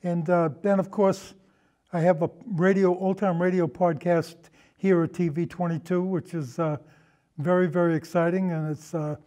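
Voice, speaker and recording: A man's voice: high voice, level tone, moderate pace, warm tone. An older man in casual, reminiscing conversation, hesitant and prone to wandering off on digressions. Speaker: guest, amateur historian and old time radio podcaster.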